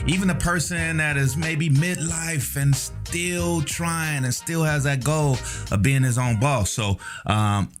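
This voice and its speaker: A man hosting a casual interview show, introducing his guest and asking him questions in a friendly, encouraging way. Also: fairly high pitch. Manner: friendly, encouraging with frequent backchannels. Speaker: podcast host.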